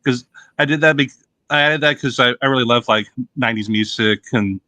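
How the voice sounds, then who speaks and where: Man, casual chat, halting, many fillers, bright tone, dry recording. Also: medium pitch, no room sound. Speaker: guest, YouTuber.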